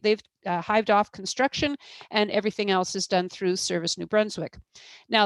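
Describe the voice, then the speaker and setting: A woman's voice: medium pitch, no echo, poor audio. A woman presenting in a webinar as a procurement expert, explaining at length with frequent fillers and restarts.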